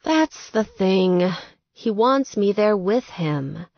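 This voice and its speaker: A woman's voice: medium pitch, lively intonation, slow pace, no echo, lo-fi sound. A woman voicing a sweet, distressed young woman gamer in an audiobook, plaintive and exasperated. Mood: fed up. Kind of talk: audiobook character dialogue.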